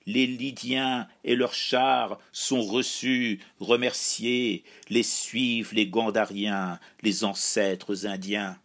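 A man solemnly reciting epic verse in a measured, declamatory way, phrase by phrase. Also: fairly low pitch, a bit bright. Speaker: poetry reciter.

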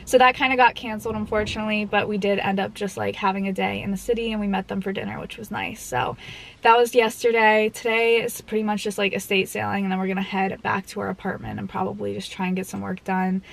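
A young woman talks to her viewers from a parked car, filler-heavy and easygoing. Her voice is a touch hoarse from an oncoming cold. She sounds close to the camera mic, in a small car interior. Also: medium pitch, fairly even intonation, fast, low-quality recording. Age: 20s. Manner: chatty, lots of "like" and "um". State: slightly hoarse, getting a cold. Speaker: vlogger. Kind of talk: casual chat to camera. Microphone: camera mounted on dashboard.

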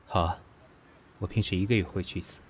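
An actor playing a composed, shrewd, gently teasing businessman in an audio drama, speaking calmly and dryly. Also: low voice, fast talker, slightly rough. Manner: calm, concise, dry. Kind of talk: Chinese audio drama acting.

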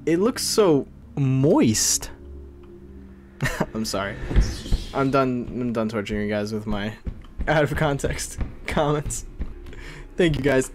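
Animated young man streaming a game. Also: medium pitch. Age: 20s. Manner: excitable, jokey reactions. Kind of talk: casual live gameplay commentary. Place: small room indoors at a gaming setup.

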